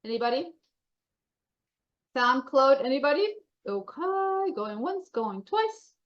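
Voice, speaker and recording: A woman's voice: sits high, sing-song, slow, highs cut off. A woman with a Colombian accent giving informal, chatty, upbeat announcements to members at a meeting. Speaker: membership association staffer presenting member announcements.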